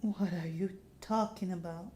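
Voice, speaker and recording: Horror game granddaughter, acted by a woman: calm, soft, measured, doubtful and apologetic, lower register. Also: a little hiss or hum, lightly acted. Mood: puzzled, calming.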